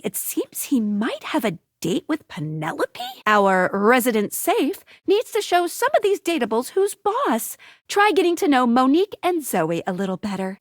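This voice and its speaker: An actress voicing an upbeat, playful female helper in a video game, perky and expressive as she delivers scripted hints to the player. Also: high-pitched, dry recording, clean studio sound. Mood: bemused. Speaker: game character guide giving hints.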